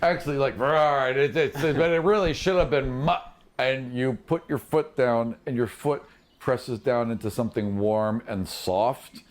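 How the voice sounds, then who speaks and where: A man running a tabletop game as game master, improvising casual, animated narration with spoken sound effects. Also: mid-range voice, measured pace.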